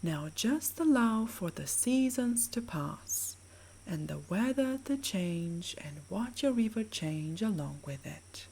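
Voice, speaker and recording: A woman with a soft, breathy voice narrating a scripted guided sleep meditation in a soothing, measured, gently instructing way. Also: medium pitch, expressive, slight background noise, rough recording.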